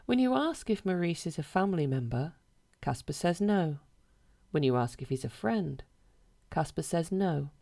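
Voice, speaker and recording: This is a woman narrating a short story. Her pitch is low for a woman. She reads the scripted prose in a measured manner, with a deadpan delivery throughout.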